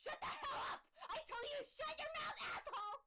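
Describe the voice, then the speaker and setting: Woman as an intense, high-pitched protective teenage girl. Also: a little fast, sharp tone, shouting. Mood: hostile. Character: protective teenage girl, tender but fiercely snapping. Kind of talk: dramatic visual novel reading.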